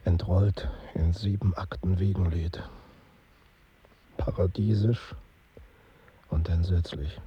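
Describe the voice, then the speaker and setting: Low, slow haunted rememberer. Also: male voice, fairly even intonation, breathy edge, muffled recording, full character voice. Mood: haunted, brooding. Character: haunted man recalling his Moscow years. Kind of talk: German radio play, fragmentary narration.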